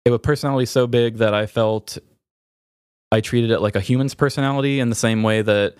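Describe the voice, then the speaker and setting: A man hosting a podcast, talking candidly and reflectively in a halting way, searching for words. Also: medium pitch, fairly even intonation, a little mellow, clean tone, no room sound, studio quality. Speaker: podcast host. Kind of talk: candid, reflective personal conversation.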